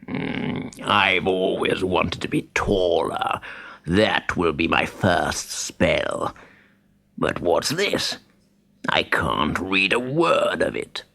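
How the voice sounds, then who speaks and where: Slow, gravelly, pompous toad baron. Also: male voice, low-pitched, animated, bright, forceful tone, pitched below the performer's own voice, exaggerated voice. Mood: gloating, greedy. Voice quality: gravelly, croaking. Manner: drawling, pompous. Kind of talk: audiobook character voice.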